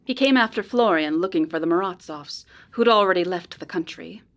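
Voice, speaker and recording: A woman playing a polite, earnest informant in a noir radio drama, speaking in a measured, precise way. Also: fairly low pitch, expressive. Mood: earnest, serious. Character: polite, earnest female informant helping a detective.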